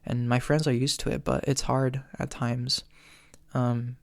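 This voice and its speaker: A man in his twenties hosting his podcast, answering listener questions on his own in a chatty, earnest way, with lots of ums and quick laughs. He says his voice is often tired and cracks. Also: mid-range voice, little pitch movement, vocal fry, no room sound. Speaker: podcast host. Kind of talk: solo Q&A chat.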